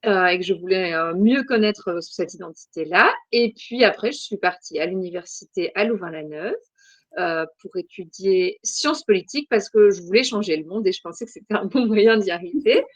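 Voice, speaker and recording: A woman's voice: mid-range voice, normal speed, dry recording. A woman in her forties from Belgium, a podcast guest answering questions in a thoughtful, hesitant way with many fillers. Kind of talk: casual interview answers.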